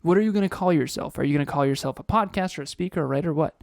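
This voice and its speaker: A man's voice: fairly high pitch, very fast, vocal fry, a little mellow, no echo, clean studio sound. A man in his thirties co-hosting a motivational podcast, talking with energy, firing off rhetorical questions and repeating phrases. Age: 30s. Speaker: podcast co-host.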